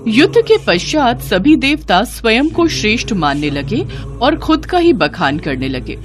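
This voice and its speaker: A woman's voice: a little high, big pitch swings, a little slow. A woman narrating a scripted devotional story as a storyteller. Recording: music behind the voice.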